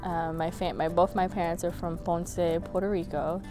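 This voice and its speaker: Young woman interviewee, lowish pitch, reflective and filler-heavy, music bed under. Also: fairly even intonation, moderate pace. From Brooklyn, New York. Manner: reflective, many "you know" and "like" fillers. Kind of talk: casual personal interview.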